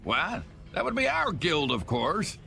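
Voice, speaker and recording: A man's voice: on the high side, huge pitch swings, measured pace, creaky, bright, forceful tone, soft background music, heavily acted. An actor playing a proud, combative male guild boss in a video game.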